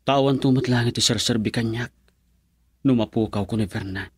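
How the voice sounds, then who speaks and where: Man acting resolute young lover, husky, mid-pitched, earnest, intense, dry radio drama sound. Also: moderate pace, no room sound, close to an everyday voice. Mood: defiant, earnest. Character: determined young man, defiant devoted lover.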